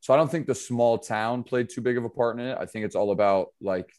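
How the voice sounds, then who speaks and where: A man's voice: mid-range voice, fairly quick. A man from upstate New York, a basketball trainer, talking loosely and casually as a podcast guest. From upstate New York, USA. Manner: relaxed, loose, filler-heavy talk. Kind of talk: casual interview chat.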